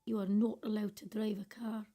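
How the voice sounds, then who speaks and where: Woman acting conscientious care worker, radio drama monologue, dark husky breathy voice, reflective, judgmental, self-questioning. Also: mid-range voice, flat delivery, moderate pace, natural voice. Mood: bitter, reflective.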